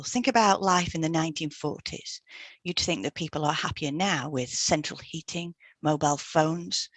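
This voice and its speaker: A woman reads educational narration from a script as a co-host. Her pitch is a touch low and her tone is breathy and husky. The sound is dry, with no room around it. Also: dry recording. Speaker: podcast co-host and hypnotherapist.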